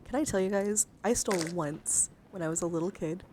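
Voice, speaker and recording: A woman livestreamer chatting casually in an ASMR style, halting and rambling as she thinks aloud. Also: mid-range voice, noisy background. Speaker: livestreamer showing a dice collection. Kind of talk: casual ASMR chat to viewers.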